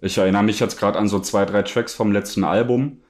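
A man with a fairly low voice talks as a guest musician on a podcast. His speech is casual and conversational, explaining his view in everyday words. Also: fairly even intonation, a little fast.

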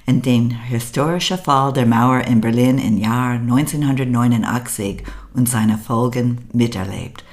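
An older American woman with a very deep voice speaks slowly and deliberately, her accent clear as she hosts a podcast. She reads a prepared monologue in an even, measured way. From United States. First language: English. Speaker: podcast host.

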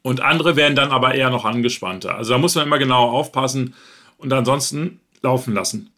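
A man's voice: mid-range voice, fast talker, bright, forceful tone. A man from northern Germany, a podcast host answering interview questions in a chatty way, thinking aloud as he talks. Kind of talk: relaxed conversational interview.

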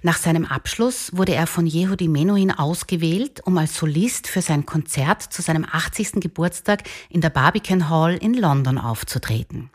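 A woman's voice: sits low, lively intonation, moderate pace, few pauses, breathy edge. A woman hosting, moving between scripted introduction and interview talk. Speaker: host.

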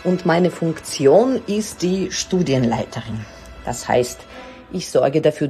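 A woman's voice: low voice, a little mellow. A woman in her forties from Israel, born in Russia, speaking as she introduces her work as a head of musical studies. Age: 40s. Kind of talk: prepared spoken self-introduction.

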